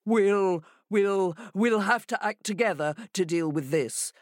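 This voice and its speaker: A woman's voice: mid-range voice, slow, dry recording, studio quality, lightly acted. A woman voicing a bossy, blustering male jackal in a storybook tale, with emphatic, commanding delivery.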